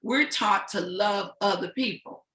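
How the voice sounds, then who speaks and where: A woman in her sixties hosts an online panel with a booming, encouraging delivery. Her tone is bright and forward. She sounds like she is in an echoey room over a video call. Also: measured pace. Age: 60s. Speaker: host. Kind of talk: hosting an online panel chat.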